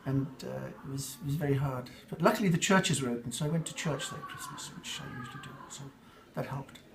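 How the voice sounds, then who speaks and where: A man's voice: medium pitch, expressive, fast, background noise. An older man with an English accent, answering interview questions in a reflective, rambling way with fillers and restarts. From England.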